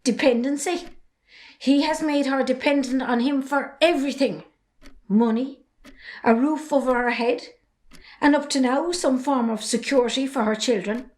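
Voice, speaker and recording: A woman's voice: sits high, moderate pace, darkish tone, natural voice. A woman playing a defiant, sardonic young farmer's daughter with an Irish accent in an amateur drama. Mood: frank. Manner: sardonic, outspoken.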